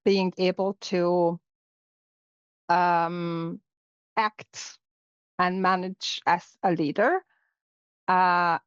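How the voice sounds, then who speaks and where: A woman's voice: on the low side, smooth, no echo. A middle-aged woman from Hungary, a native Hungarian speaker, talking reflectively as an interview guest with many fillers and restarts. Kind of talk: casual interview conversation. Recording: dull, lo-fi sound.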